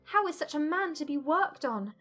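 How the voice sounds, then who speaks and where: A woman playing an agitated, self-reproaching young gentlewoman in formal dialogue from a dramatized period audiobook. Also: high-pitched, animated, normal speed, faint music behind. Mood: distressed, hopeless.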